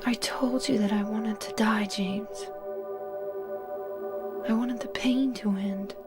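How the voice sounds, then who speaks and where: An actress playing a dying, sorrowful wife in a video game cutscene, with a breathy, hushed delivery. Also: female voice, mid-range voice, steady tone, takes it slowly, lightly acted. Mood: sorrowful, pleading. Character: dying, sorrowful wife speaking to her husband. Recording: music loud behind the voice. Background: loud game music.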